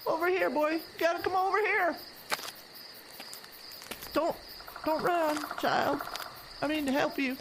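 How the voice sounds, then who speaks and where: Spooky old carnival mama hiding in the trees, folksy talk, crickets faint behind. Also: female voice, extremely high pitch, measured pace, long pauses, noisy background. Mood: coaxing. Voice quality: folksy rural grammar. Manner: urgent, ominous warnings. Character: old mother of the carnival owner, hiding in the woods. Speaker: voice actor. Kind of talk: horror radio drama.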